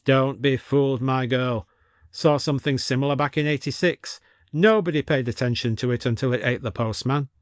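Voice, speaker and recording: A man's voice: mid-range voice, moderate pace, no room sound, clean studio sound, natural voice. A man voicing an elderly, blustering retired colonel with an upper-class English accent in a story reading. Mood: gruff reminiscing. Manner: barking, pompous military bluster. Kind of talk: audiobook character voice.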